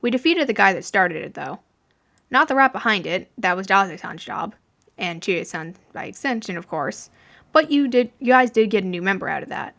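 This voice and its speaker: A woman voicing a young male mafioso, stern but gently reassuring, in a fan fiction reading. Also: mid-range voice, expressive, normal speed, gravelly. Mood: calm, explaining.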